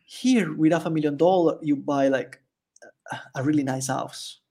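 A man's voice: fairly high pitch, moderate pace, slightly rough. A man from Italy speaking English with an Italian accent, chatting as a podcast guest and laughing often. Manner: chatty, animated storyteller who laughs often. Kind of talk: casual interview chat.

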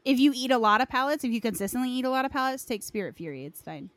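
A woman's voice: sits high, animated, racing, a bit bright, no echo. A woman hosting a gaming podcast, chatting informally and with some self-deprecation. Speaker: podcast host. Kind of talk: casual gaming chat.

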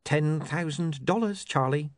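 A man voicing a smooth-talking criminal boss who persuades with wry patience and quiet menace. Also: on the high side, measured pace, no room sound, clean studio sound, pitched above the performer's own voice, lightly acted. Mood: coaxing.

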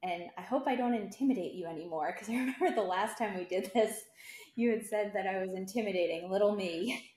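A woman's voice: mid-range voice, fairly quick, darkish tone. A woman speaking as a guest in a casual interview about her professional life. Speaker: podcast guest, dental services executive. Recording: echoey, roomy sound.

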